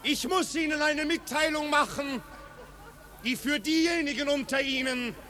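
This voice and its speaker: A man's voice: very high voice, moderate pace. A man playing a snobbish marquis in a 1950s radio play, addressing his guests in formal, grandiloquent declamation. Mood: ceremonious, defiant. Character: snobbish marquis, a grand ceremonious host of lavish parties. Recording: noisy old radio recording with loud crowd.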